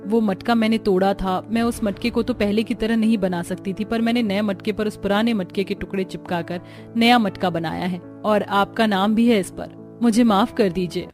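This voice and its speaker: Lady acting a jealous sharp-tongued young sister in law, hindi cartoon drama, bit of music. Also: mid-range voice, fairly even intonation, normal speed, natural voice. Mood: remorseful. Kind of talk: Hindi cartoon moral story dialogue.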